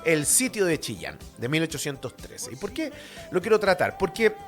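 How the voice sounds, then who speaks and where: A man's voice: on the high side, big pitch swings, normal speed, a bit bright, faint music behind. A man with a Chilean accent hosting a radio history show, lecturing informally in a blunt, opinionated, colloquial way full of rhetorical and tag questions.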